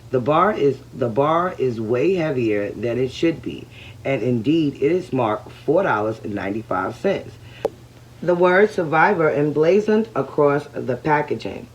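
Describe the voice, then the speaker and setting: A woman's voice: extremely low pitch, dull audio, a little hiss or hum. A woman reading a novel aloud fluently, acting out the quoted dialogue with some expression. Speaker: reading helper correcting a learner.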